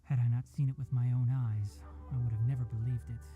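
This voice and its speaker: An actor voicing a calm young male forest ranger and scholar with fox ears in a game, speaking in a measured, composed way. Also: mid-range voice, muffled recording, natural voice. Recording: game audio with faint music.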